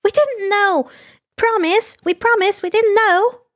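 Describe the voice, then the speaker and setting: A woman voicing a bubbly, childlike villain schoolgirl in an anime fan story, chattering excitably. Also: very high voice, measured pace, voice pushed up. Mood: excited, chattering.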